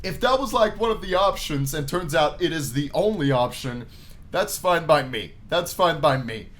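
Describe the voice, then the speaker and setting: A man's voice: a little high, animated, normal speed, a bit bright. A man giving jokey playthrough commentary as he reads a visual novel aloud, often repeating phrases for emphasis. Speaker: gaming video host.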